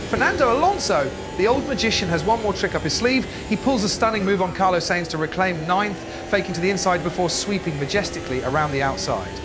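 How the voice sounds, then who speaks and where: A man's voice: high-pitched, lively intonation, fast, over music. A man giving dramatic, theatrical motorsport commentary in a grandiose, hyperbolic manner. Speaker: race commentator.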